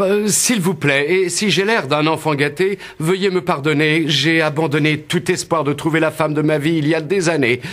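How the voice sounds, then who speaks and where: A man's voice: a little high, a bit bright. A man playing a courteous, romantic young prince in a cartoon, world-weary yet earnest. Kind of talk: French cartoon dubbing.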